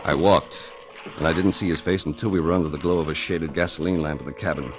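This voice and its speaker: A man's voice: a bass voice, steady tone, quick pace. An actor playing a tough, wry private investigator in a 1940s American radio drama, telling his case in hard-boiled style. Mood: wry, dramatic. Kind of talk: 1940s radio detective drama acting and narration. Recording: 1940s radio recording with music.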